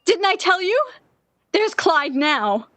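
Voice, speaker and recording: A woman playing a distraught, obsessive lovesick woman in a 1940s-style radio drama, with an intense, halting, theatrical delivery. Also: extremely high pitch, sing-song, bright, forceful tone, slight background noise, heavily acted. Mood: breathless anticipation.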